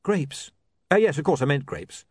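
A man's voice: a little high, big pitch swings, moderate pace, breathy edge, no room sound, studio quality, pitched above the performer's own voice. A man putting on a shy, hesitant young woman. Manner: hesitant, with soft ums.

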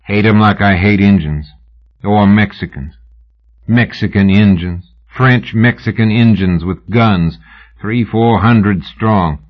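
A man reading an audiobook, voicing a menacing, weary masked Texian gunman who talks in a slow, dry, toneless drawl. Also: on the low side, lo-fi sound. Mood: contemptuous. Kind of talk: audiobook character voice.

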